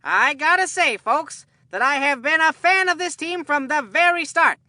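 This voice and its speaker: A woman voicing an excitable, food-loving boy baseball commentator in a cartoon game, with a folksy, earnest showman delivery. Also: sits high, normal speed, no room sound, clean studio sound.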